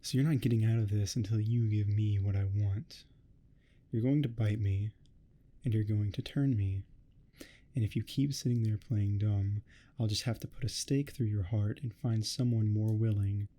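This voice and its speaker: A man's voice: on the low side, steady tone, normal speed, warm tone, close to an everyday voice. A man playing an obsessive, menacing neighbor who longs to be a vampire, in a theatrical ASMR roleplay monologue. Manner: theatrical, grandiose monologuing, smugly threatening.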